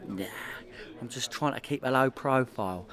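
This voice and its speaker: An actor playing a hopeless petty criminal posing as a gangster in a comedy radio play, with a London accent and a self-important, evasive manner. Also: male voice, mid-range voice, moderate pace, a bit bright, natural voice.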